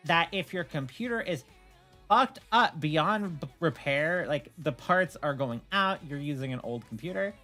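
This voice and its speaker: Low-voiced, animated woman streamer. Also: unhurried, faint music behind. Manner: opinionated, blunt, animated storytelling with swearing. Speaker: streamer, host. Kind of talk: casual livestream chat.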